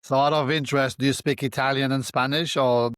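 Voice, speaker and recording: A man's voice: mid-range voice, steady tone, fairly quick, fairly bright tone, smooth, no room sound, studio quality. A man hosting a podcast interview, asking questions and giving short, encouraging replies. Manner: supportive listener, brief affirmations. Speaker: podcast host. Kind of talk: casual interview.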